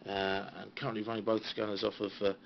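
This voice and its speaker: Gravelly low-voiced British man. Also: level tone, fast. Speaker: CB radio hobbyist giving a tour of equipment. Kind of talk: casual informal show-and-tell. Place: small radio room at home. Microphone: handheld phone. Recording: dull phone recording with faint noise.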